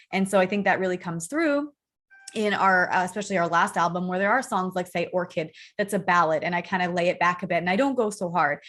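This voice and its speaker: A woman with a soft, girlish, feminine voice talking casually and candidly as an interview guest, often saying "like". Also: on the low side. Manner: candid, informal, peppered with "like". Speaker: interview guest, rock band singer.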